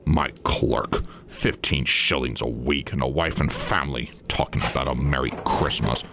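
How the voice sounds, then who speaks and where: A man voicing a bitter, scornful old miser in a storybook reading, gruff and dismissive. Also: very deep voice, moderate pace, background noise. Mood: sour. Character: bitter, indignant, miserly old male sinner.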